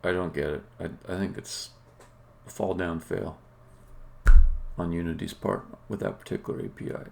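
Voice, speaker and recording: A man who is a game developer, talking casually and haltingly as he thinks aloud during a live coding stream. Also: deep voice, fairly even intonation, gravelly, darkish tone, a little hiss or hum.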